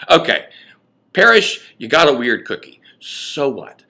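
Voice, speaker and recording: A man's voice: mid-range voice, very animated, a little slow, creaky, bright, forceful tone, full character voice. A man voicing an exasperated, pedantic, skeptical friend in a novel reading, correcting emphatically and sardonically.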